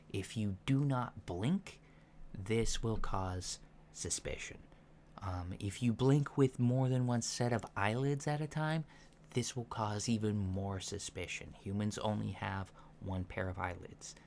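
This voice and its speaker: A soft-spoken man giving gentle, measured instructions in an ASMR roleplay, pausing often mid-sentence. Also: fairly low pitch. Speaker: ASMR roleplay performer playing a training instructor. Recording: lo-fi with faint background noise.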